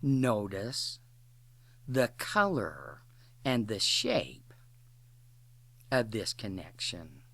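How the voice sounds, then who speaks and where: A woman leading a guided imagery exercise in an instructional way, speaking in deliberate short phrases with long pauses. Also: very deep voice, sing-song, a bit bright, slightly rough, no room sound.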